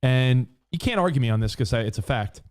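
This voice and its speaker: A man in his forties co-hosting a podcast in casual chat, animated and fond of acting out quoted voices. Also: mid-range voice, fairly quick, clear, resonant tone. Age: 40s. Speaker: podcast co-host.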